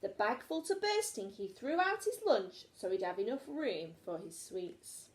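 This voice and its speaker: A woman's voice: mid-range voice, sing-song, a little slow. A woman teacher reading a children's story aloud expressively, recorded in an echoey room.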